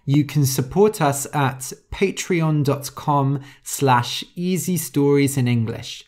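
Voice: mid-range voice, slow pace, a little mellow. A podcast host reading a simple story aloud for English learners, explaining words and spelling some out letter by letter.

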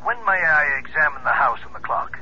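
A man's voice: fairly high pitch, lively intonation, brisk, sharp tone, breathy edge, heavily acted. A man playing a formal, businesslike psychic investigator through a telephone filter, in vintage radio drama. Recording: thin, noisy telephone line on radio.

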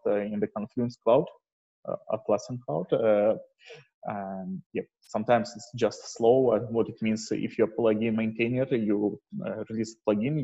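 Male meetup presenter, mellow and husky, hesitant informal delivery, lo-fi call audio. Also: mid-range voice. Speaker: presenter at an online developer meetup. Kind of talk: informal technical presentation with slides. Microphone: video call microphone. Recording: dull, muffled lo-fi online call.

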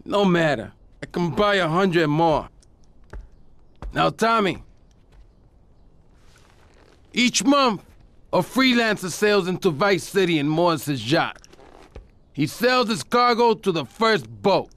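A middle-aged drug lord from a crime game, very high in pitch and wildly swinging. He rants slowly and theatrically, short-tempered and paranoid, over faint noise. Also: animated, fairly bright tone, no room sound. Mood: scheming, commanding. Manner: explosive, theatrical ranting. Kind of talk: video game cutscene acting.

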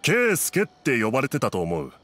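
Matter-of-fact, animated townsman. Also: mid-range voice, moderate pace. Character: matter-of-fact townsman reporting what he witnessed. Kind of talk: anime game voice acting.

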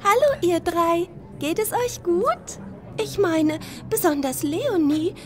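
A woman's voice: very high voice, slow pace, darkish tone, soft background music. A woman playing a friendly, gushing film actress in a children's audio drama, polite and solicitous.

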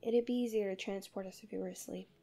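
A woman playing a tender, possessive guardian angel in love with a human, in an intimate ASMR roleplay with soft, soothing, often breathy speech. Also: medium pitch, fairly even intonation, quick pace, natural voice. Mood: gentle, coaxing.

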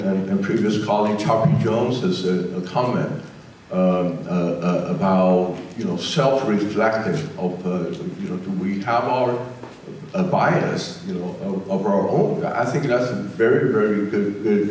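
A man in his sixties with a Taiwanese Mandarin accent, speaking haltingly as a panelist in a community hall, with many fillers and restarts. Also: lowish voice, darkish tone, a little hiss or hum. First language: Mandarin Chinese. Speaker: panelist, state legislator. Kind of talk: panel remarks. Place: large community center hall, indoors. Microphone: handheld mic, filmed from a far camera. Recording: echoey hall from far off.

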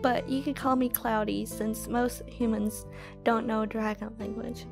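A woman voicing a gentle, lonely young dragon who speaks in an earnest, trusting way. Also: a little high, steady tone, a little slow, creaky, music in the background. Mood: gentle, shy.

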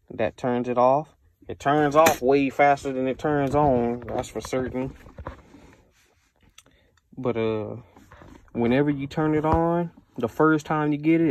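A man's voice: mid-range voice, a little mellow. An American man giving a casual, unscripted product review in a conversational way, repeating himself for emphasis.

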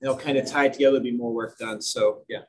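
A man's voice: medium pitch, steady tone, fast talker, a little hiss or hum. A man, a school administrator, speaking plainly at a board meeting with loose, filler-heavy phrasing. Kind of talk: meeting remarks.

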